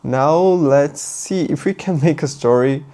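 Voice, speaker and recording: Portuguese-native guy teaching casually, kinda mellow voice, keeps saying right?. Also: fairly high pitch, unhurried. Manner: thinking aloud, muttering while writing; loose and chatty, asks "right?". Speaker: language teacher. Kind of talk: casual tutorial talk.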